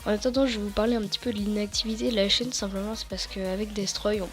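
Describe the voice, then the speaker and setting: Hesitant, slightly hoarse teenage girl. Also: medium pitch, steady tone, fast talker, faint music behind. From France. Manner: rambling, hesitant, many fillers and restarts. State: a bit hoarse. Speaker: tutorial YouTuber. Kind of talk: casual screen-recorded tutorial.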